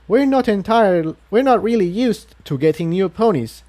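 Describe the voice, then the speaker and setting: A man's voice: sits high, animated, normal speed, warm tone, pitched above the performer's own voice. A man voicing a polite, apologetic stallion guide in a storybook, courteous and welcoming. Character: polite, apologetic male pony town guide. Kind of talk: storybook character voice.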